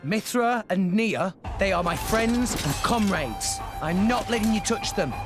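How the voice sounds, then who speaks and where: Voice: medium pitch, expressive, unhurried, natural voice. An actor playing an earnest, brave teenage boy adventurer in a video game cutscene. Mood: resolute. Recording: loud music behind the voice.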